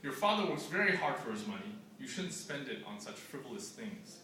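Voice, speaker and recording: A man's voice: medium pitch, very animated, a little fast, sharp tone. Proper, reproving mother, read by a man; live storybook reading, reverberant and far from the mic. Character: nagging, proper mother of a young boy. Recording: echoey room, distant camera mic, noisy.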